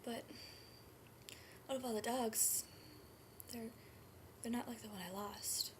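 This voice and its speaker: A woman performing a dramatic stage monologue as a grief-stricken, guilt-ridden nineteen-year-old mother, speaking haltingly and often in a breathy hush. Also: fairly high pitch, steady tone. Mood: wistful, pained. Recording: noisy amateur room recording.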